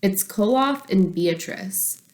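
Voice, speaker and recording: A woman's voice: mid-range voice, animated, slow, a little mellow. Earnest schoolboy, voiced by a woman, dramatic and caring, for a children's storybook. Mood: grumbling. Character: earnest, imaginative schoolboy, caring but easily exasperated.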